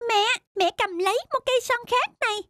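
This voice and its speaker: A woman's voice: very high-pitched, fairly quick, fairly bright tone, no room sound, clean studio sound. A woman voicing a mischievous, fretful, affectionate small boy in a children's cartoon. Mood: sheepish. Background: children's background music.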